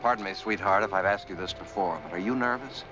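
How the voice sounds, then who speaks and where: An actor playing a determined, indignant young man rescuing his sweetheart, in brisk, forceful 1940s film style. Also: fairly low pitch, close to an everyday voice. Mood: wry. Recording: dull 1940s film soundtrack with music.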